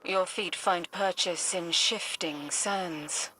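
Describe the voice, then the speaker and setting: A woman's voice: on the low side, slow pace, pushed and bright, a little hiss or hum, rough recording. An actress voicing an enigmatic, solemn female oracle in a video game, cryptic and portentous. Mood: ominous, calm. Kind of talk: video game cutscene voice acting.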